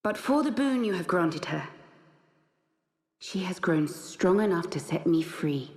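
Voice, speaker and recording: An actress playing a serene, sorrowful young divine emissary in a game cutscene, with gentle, archaic, stately diction. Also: female voice, fairly low pitch, expressive, a little slow. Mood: solemn, compassionate. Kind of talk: dramatic video game cutscene acting. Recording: cavernous echo with long reverb tail.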